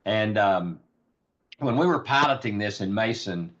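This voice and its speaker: A man with a raspy, slightly low voice talks through a rural health program with earnest, unpolished energy. He leans on ums and repeats words as he goes. The audio is muffled and lo-fi. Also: animated, measured pace, a bit bright. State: raspy, hoarse voice. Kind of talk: informal virtual presentation. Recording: dull lo-fi audio.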